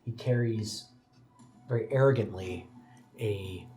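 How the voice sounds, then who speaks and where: A man narrating a tabletop roleplaying game as game master, setting scenes in a measured way and dropping soft for quiet details. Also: on the low side, soft background music.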